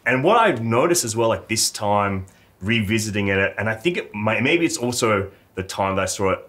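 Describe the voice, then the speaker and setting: A man with a fairly low voice hosts a relaxed talk about films. He speaks earnestly and reflectively, and his sentences are loose and full of "like" and "yeah". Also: normal speed. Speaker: host. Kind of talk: casual chat.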